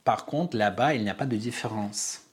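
Low-voiced guy from armenia, earnest interview talk, stops to hunt for words. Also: normal speed, breathy edge. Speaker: playwright and director, guest. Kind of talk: interview conversation.

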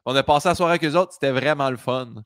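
A man in his late thirties with a Québécois accent, hosting a relaxed podcast chat with lots of fillers. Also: medium pitch, racing, clear, resonant tone, no echo, clean studio sound. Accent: Québécois French. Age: 30s. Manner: relaxed, chatty, with frequent fillers. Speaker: podcast host. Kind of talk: casual conversation.

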